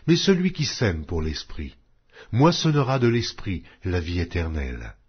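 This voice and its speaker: A man reading scripture aloud in a slow, solemn, measured way. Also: low voice, sing-song, breathy edge, dull audio. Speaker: Bible reader.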